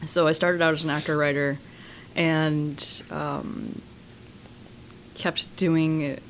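This woman speaks in a low, deep register and tells her stories in a loose, animated way, swearing freely and laughing as she goes. She sounds like a guest on a casual interview, and there is a faint hum of noise behind her. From Massachusetts, US. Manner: profane, animated storytelling with laughs. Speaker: guest, horror filmmaker and writer.

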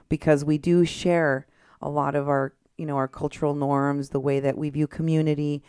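A woman from Texas with a low, smooth voice hosts a relaxed radio chat. She talks easily, with plenty of ums along the way. The studio sound is dry and close. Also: no room sound. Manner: chatty, warm hosting with fillers. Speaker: radio host.

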